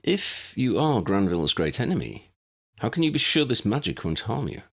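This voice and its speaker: A man voicing a courteous, pedantic old gentleman scholar in an audiobook, wordy, formal and precise. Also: lowish voice, very animated, moderate pace, voice pushed up, exaggerated voice. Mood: measured, precise.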